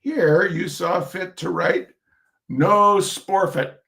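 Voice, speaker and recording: A man's voice: sits high, slow pace, vocal fry, sharp tone. A man giving an informal reading of humorous essays, with a droll, chatty manner and asides to his listeners. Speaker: reader of short humorous pieces.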